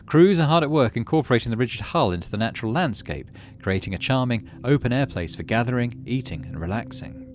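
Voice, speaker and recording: A man's voice: medium pitch, expressive, fast, hardly any pauses, slight background noise. A man giving casual gaming commentary, reading game text aloud and muttering as he thinks. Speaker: let's play host.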